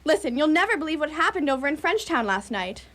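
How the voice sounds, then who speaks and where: A woman's voice: high voice, very animated, quick pace, fairly bright tone. A woman playing a gushing, gossipy grown daughter in a radio play, chatty and dramatic. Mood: flustered, relieved. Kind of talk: community radio theatre acting.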